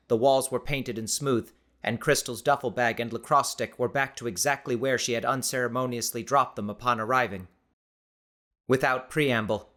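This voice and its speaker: A male audiobook narrator with a medium-pitched voice. He reads scripted fiction in a measured, expressive way, with dramatic storytelling phrasing.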